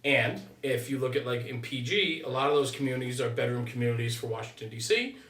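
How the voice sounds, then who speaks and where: A man's voice: medium pitch, animated, fast talker, a bit bright. New man, roomy and distant. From United States. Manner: emphatic, punctuates points with "right?". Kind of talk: conversational opinion, explaining at length. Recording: roomy echo, distant from mic.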